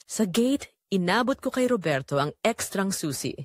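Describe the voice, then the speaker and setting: Expressive Filipino woman narrator, dry studio sound. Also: mid-range voice, moderate pace, no echo. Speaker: audio drama narrator. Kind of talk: scripted first-person dramatic narration.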